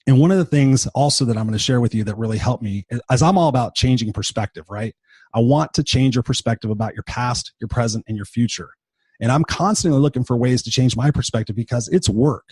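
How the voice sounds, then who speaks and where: A man in his fifties gives an unscripted motivational talk, blunt and sprinkled with swearing, leaning hard on repeated emphasis. His voice is husky and a little worn from being sick and tired, sitting at an everyday middle pitch. The sound is dry and close, with no room around it. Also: a little fast, a little mellow, no echo. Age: 50s. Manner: blunt and profane, emphatic repetition. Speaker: motivational podcast host.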